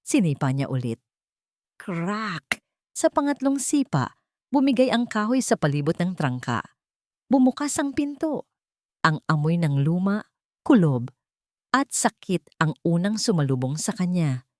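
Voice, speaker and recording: A woman's voice: on the low side, mellow, no room sound, studio quality. A woman narrating a scripted audio story in a dramatic storytelling style.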